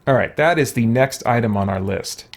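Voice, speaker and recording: Animated man doing game commentary. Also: medium pitch, moderate pace, slight background noise. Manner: thinks aloud, exclaiming. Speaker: gaming channel host. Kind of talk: casual let's play commentary.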